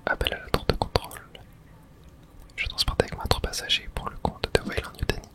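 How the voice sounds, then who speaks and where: Hushed whisper, fully unvoiced, ASMR style, video game playthrough, dialogue read aloud. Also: brisk.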